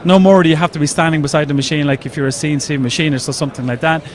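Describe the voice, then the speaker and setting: Fast-talking Irish man. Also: on the high side, fairly even intonation. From Ireland. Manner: enthusiastic, explanatory. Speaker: company representative. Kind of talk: trade show interview. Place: trade show floor.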